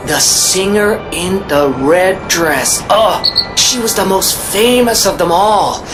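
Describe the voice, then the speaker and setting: An actress playing a wistful, chatty woman reminiscing in a video game cutscene, musing in a hesitant, breathy way. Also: lowish voice, low-quality recording.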